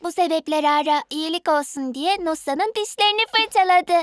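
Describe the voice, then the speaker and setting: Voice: extremely high pitch, normal speed, background noise. An actor voicing a cheeky, playful little sister in a dubbed children's cartoon, with a cute manner. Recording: music behind the voice.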